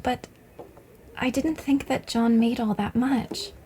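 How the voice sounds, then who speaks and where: A woman's voice: fairly high pitch, slow pace, warm tone. A woman playing a wealthy, gushing, insistent young society lady in an audio play, coaxing her friend. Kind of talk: audio drama acting. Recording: clearly noisy background.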